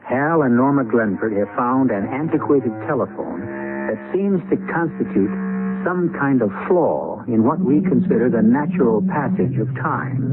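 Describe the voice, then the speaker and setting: A man's voice: mid-range voice, noisy background. An American man giving dramatic scripted narration as the host of a 1970s radio mystery. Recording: tinny 1970s radio broadcast. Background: music.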